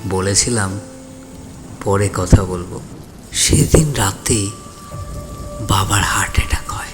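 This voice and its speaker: A man's voice: fairly low pitch, slow, over music. A man playing a lonely, regretful young man in an audio story, speaking in a subdued, heavy-hearted way. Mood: grieving, guilty. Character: regretful lonely young man grieving his father, withdrawn, sorrowful. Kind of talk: dramatized audio story acting. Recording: voice over rain and thunder ambience.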